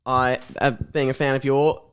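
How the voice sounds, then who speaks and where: A man's voice: mid-range voice, level tone, moderate pace, fairly bright tone, clean tone. A man chatting casually and jokingly as a podcast guest.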